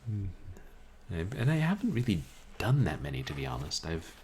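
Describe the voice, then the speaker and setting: A man streaming a book reading, chatting casually with his chat in a calm, musing, halting way. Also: sits low, a little hiss or hum. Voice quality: calming voice. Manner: musing, soft-spoken, halting. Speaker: streamer reading books aloud.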